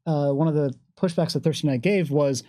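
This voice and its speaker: A game designer speaking on a casual panel in a measured, articulate way with considered wording. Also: mid-range voice, dark tone, no echo.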